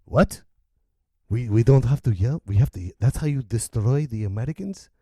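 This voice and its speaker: Loud ranting podcast man. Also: mid-range voice, moderate pace, dry recording, studio quality. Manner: loud, animated ranting with sudden yells. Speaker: podcast host. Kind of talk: opinion rant.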